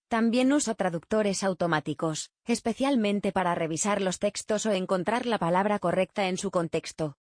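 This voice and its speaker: A woman reading written answers aloud in a plain, steady way. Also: mid-range voice, dry recording, studio quality. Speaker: reader of survey answers. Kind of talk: scripted reading.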